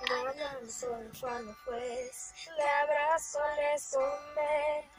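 A woman's voice: high voice, little pitch movement, slow. A young woman chatting and singing along in a car at night, recorded on a phone. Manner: sings along in Spanish. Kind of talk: casual chat and singing along to a song. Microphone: phone camera. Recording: loud music playing behind.

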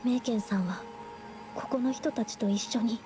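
An actress playing a gentle, earnest young woman painter in an anime game, speaking softly and politely as she explains carefully. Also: on the high side, moderate pace, natural voice. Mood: sober, sad. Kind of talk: Japanese anime game voice acting. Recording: game dialogue over music.

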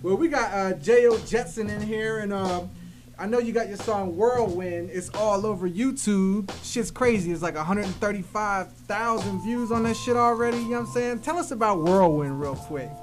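A man's voice: sits high, moderate pace, soft background music. An American man hosting a casual hip-hop radio show, talking in slangy, profane, enthusiastic style. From Philadelphia, USA. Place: small radio studio. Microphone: studio boom mic.

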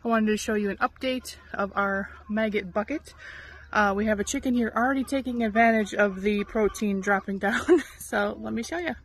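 Middle-aged woman, informal farm how-to vlogger, medium pitch, handheld phone outdoors with chickens and light noise behind. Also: moderate pace. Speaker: chicken keeper presenting a how-to. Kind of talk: casual chatty how-to vlog. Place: outdoors in a farmyard chicken run. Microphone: handheld phone at arm's length. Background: chickens clucking, outdoor noise.

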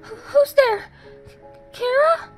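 A woman voices a frightened human child in a dramatic comic dub. The voice is very high, halting and tearful, full of pleading remorse, over music. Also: full character voice, poor audio. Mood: startled.

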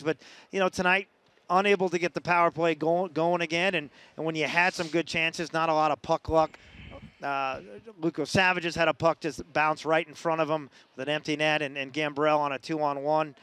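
A man hosting a post-game radio interview, asking long, halting questions. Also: on the high side, a bit bright, clear, resonant tone. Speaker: sports radio host.

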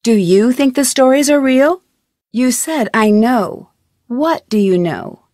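A woman's voice: fairly high pitch, animated, slow pace, a little mellow, dry recording, studio quality. A woman playing a curious, polite young amateur detective in scripted video game dialogue, asking questions in an inquisitive way. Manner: inquisitive, polite, clearly enunciated. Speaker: game protagonist.

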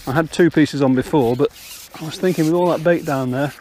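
A man's voice: on the high side, brisk, darkish tone, slight background noise. An older man casually explaining fishing steps outdoors on a riverbank, talking step by step. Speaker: angler and channel host.

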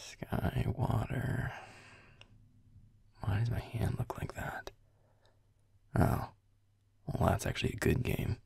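A man's voice: sits low, creaky, darkish tone, slightly rough, no echo, heavily acted. A man playing a grumpy, defensive werewolf boyfriend in a roleplay audio, muttering sarcastically as he plays a video game. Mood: grudging. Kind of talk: roleplay audio, gaming chat with listener.

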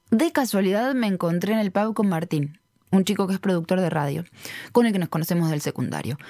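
A woman's voice: on the low side, warm tone, dry recording, clean studio sound, natural voice. An actress playing a chatty, curious Argentine journalist who confides in her friends in a fiction podcast. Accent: Rioplatense, Argentine. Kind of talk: acted fiction podcast monologue.

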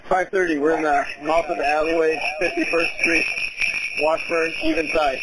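A man's voice: fairly high pitch, steady tone, normal speed. Male officer on police radio, terse and urgent, heavy hiss on the channel. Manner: terse, urgent call signs. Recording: hissy police radio transmission.

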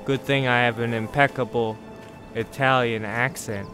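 A man's voice: medium pitch, steady tone, normal speed. A man commentating his own gameplay, joking and swearing, now and then putting on silly voices. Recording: game music underneath.

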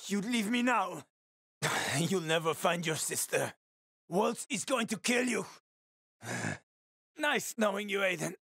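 A man's voice: high voice, lively intonation, slow, creaky, pauses often, fairly bright tone, slightly rough, dry recording, clean studio sound, full character voice. An actor playing a roguish, wisecracking male survivor in a video game, talking in a casual, teasing, sweary way. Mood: desperate, pained.

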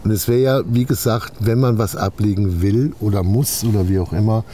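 A man's voice: on the low side, fairly quick, dark tone. A man chatting casually on location outdoors, explaining things, repeating himself and often checking for agreement. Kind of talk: casual on-location commentary in conversation. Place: outdoors in woodland on soft ground. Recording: outdoor field recording with background noise.

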